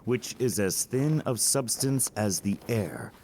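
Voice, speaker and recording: A witty, feverishly fanciful young man, played by a male actor in a Shakespearean audio play. He takes his time, teasing and theatrical, his lowish voice swinging widely in pitch as he spins a tale. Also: animated, takes it slowly, a little mellow, slight background noise. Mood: wistful. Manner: theatrical, storytelling, swooping delivery.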